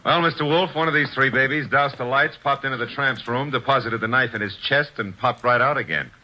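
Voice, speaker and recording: A man's voice: medium pitch, bright, forceful tone, slight background noise, rough recording. An actor playing a brash, wisecracking male assistant detective in a vintage radio drama, breezy and slangy. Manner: breezy, slangy, quick with quips. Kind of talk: 1940s radio acting.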